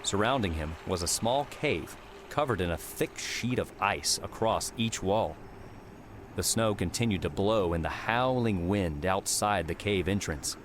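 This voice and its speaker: A man's voice: low-pitched, animated, unhurried, a little hiss or hum. A man reading scripted third-person fiction as an audiobook narrator. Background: prominent music with outdoor ambience.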